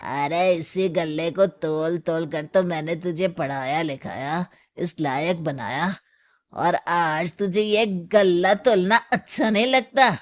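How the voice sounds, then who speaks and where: A storybook drunkard father, acted out by a woman, middle-aged and coarse. The delivery drags slowly in a lowish pitch, mocking and full of scorn. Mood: angry reproach. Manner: slow, drawling, mocking.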